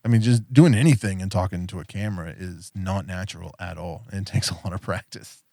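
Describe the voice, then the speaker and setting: A man's voice: low-pitched, fairly quick, vocal fry, mellow, dry recording, clean studio sound. A man hosting a podcast in casual chat, rambling with lots of fillers and easy laughs. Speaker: podcast host.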